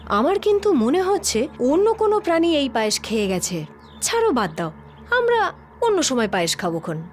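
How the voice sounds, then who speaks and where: A woman's voice: sits high, sing-song, normal speed. A woman voicing a sly, chatty fox in a children's cartoon, with an exaggerated sing-song delivery. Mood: feigned surprise.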